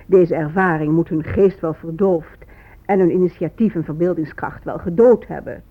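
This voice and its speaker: A woman's voice: fairly low pitch, moderate pace, lightly acted. A woman playing a condescending schoolteacher lecturing children in a didactic manner in a radio play. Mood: patronising. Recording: muffled, narrow radio play recording.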